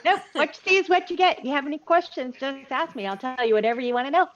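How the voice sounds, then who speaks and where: A woman's voice: high-pitched, fast talker. A woman playing a tabletop game online, bantering casually as her character. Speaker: tabletop roleplaying player. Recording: slightly dull online mic.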